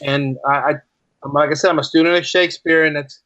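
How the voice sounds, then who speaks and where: Man with higher-pitched voice, dull audio. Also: fairly even intonation, fast, fairly bright tone, dry recording. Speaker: podcast guest, writer. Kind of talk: casual interview chat.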